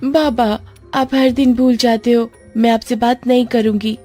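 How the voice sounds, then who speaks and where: Lady doing small hungry girl, pleading and pouty, raised pitch, story music behind. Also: steady tone, unhurried, warm tone. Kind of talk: dramatised storybook dialogue. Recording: music under the voice.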